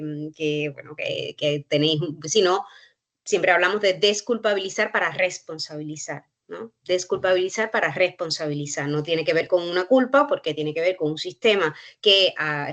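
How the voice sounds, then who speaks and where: A woman's voice: fairly low pitch, fairly bright tone. Cuban woman speaking thoughtfully on a panel. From Cuba. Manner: thoughtful, searching for words with many pauses and fillers. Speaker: university researcher, panelist. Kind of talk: reflective panel talk.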